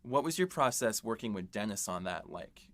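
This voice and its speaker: A man with a fairly low voice co-hosts a podcast. He asks measured, thoughtful questions in a casual, friendly chat. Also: expressive, slight background noise. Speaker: podcast co-host asking questions.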